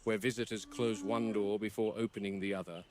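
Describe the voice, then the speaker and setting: An actor playing a serious game warden who narrates a formal, objective log in a found-footage horror film. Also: male voice, on the low side, level tone, brisk, background noise, natural voice. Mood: measured, grave. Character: measured, serious male game warden documenting dangerous predators.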